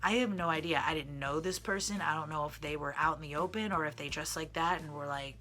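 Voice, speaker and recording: A man's voice: fairly high pitch, quick pace, bright, forceful tone. A transgender man, telling personal stories to camera in a candid, digressive way with many fillers. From Midwestern United States. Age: 30s. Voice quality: feminine-sounding voice. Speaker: singer and vlogger. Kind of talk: casual personal storytelling to camera.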